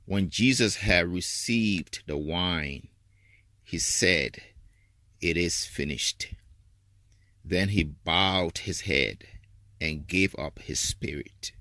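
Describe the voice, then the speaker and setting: A man's voice: deep voice, extremely slow, long pauses, bright, forceful tone, dry recording, clean studio sound. A man reading scripture aloud in a solemn, formal style as a reader for a church service.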